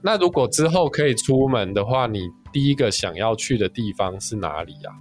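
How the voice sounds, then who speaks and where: Very low-voiced Taiwanese woman hosting for children. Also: lively intonation, a little fast, soft background music. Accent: Taiwanese Mandarin. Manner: gentle questions in simple, child-directed phrasing. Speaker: children's story podcast host. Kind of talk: friendly interviewing and hosting for children.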